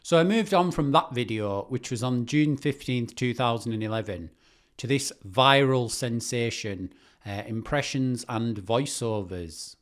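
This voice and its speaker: A man's voice: medium pitch, a little slow. A British man giving casual, self-mocking reaction commentary as a YouTuber.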